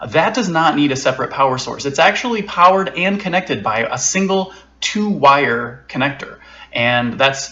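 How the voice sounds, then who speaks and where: A man giving a casual, unscripted tech product review from home, relaxed, with frequent fillers and restarts. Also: medium pitch, highs cut off.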